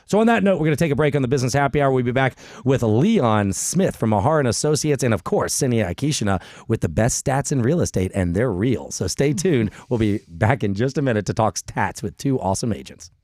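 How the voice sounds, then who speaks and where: A man's voice: medium pitch, expressive, a little fast, hardly any pauses, darkish tone, dry recording. A man hosting a radio talk show in a studio, chatting casually, joking and rambling. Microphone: studio mic.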